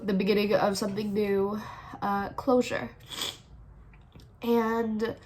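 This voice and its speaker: A woman's voice: mid-range voice, slight background noise. Congested woman reading tarot. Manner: chatty, thinks aloud, repeats "all right". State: congested, sniffling. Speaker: tarot reader, channel host. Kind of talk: casual tarot reading to camera.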